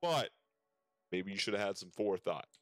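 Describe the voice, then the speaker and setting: A man's voice: sits low, animated, moderate pace, dry recording, faint music behind. A man streaming a video game, reading dialogue aloud and reacting casually, sometimes stumbling over words.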